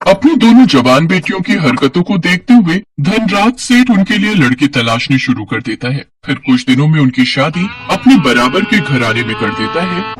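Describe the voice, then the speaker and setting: A man tells a scripted moral tale in a high, very animated voice. He works as a storyteller and sounds slightly distant from the microphone. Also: husky.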